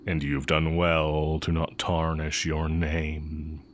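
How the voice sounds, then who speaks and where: An extremely deep, slow-talking heretic sorcerer acted by a man, once an academy teacher. He speaks intimately and straight to the listener, with smug, wry condescension and an undercurrent of affection, as in a fantasy audio roleplay. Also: completely flat delivery, slight background noise. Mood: mocking, fond. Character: exiled heretic dark-magic teacher, smug, fond, villainous.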